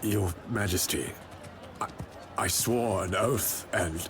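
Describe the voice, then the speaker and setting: An actor playing a dutiful medieval knight commander in a video game, restrained and respectful. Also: male voice, low voice, slow pace, music in the background. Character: steady, dutiful knight commander, weary and loyal.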